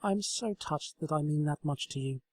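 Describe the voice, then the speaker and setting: A man's voice: fairly high pitch, moderate pace, a little mellow, slightly rough, no room sound, pitched above the performer's own voice. A man voicing an earnest, kind-hearted young female unicorn in a comic storybook, sincere and easily flustered. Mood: tender, sincere. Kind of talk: comedic storybook reading.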